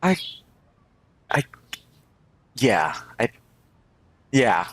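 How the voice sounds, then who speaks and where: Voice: mid-range voice, big pitch swings, very slow, no echo. A game streamer doing reaction commentary, exclaiming and repeating words in amazement while playing a story game.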